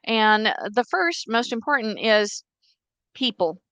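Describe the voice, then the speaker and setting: A middle-aged woman whose first language is Czech tells her story in an earnest, steady way. Her voice sits somewhat high. The recording is dry and close, with no room sound. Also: unhurried, no room sound. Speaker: guest. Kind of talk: interview storytelling.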